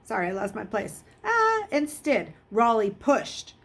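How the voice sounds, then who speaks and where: A woman reading a children's novel aloud in an expressive storytelling style. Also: a little high, a little slow. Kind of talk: expressive storybook read-aloud with character voices.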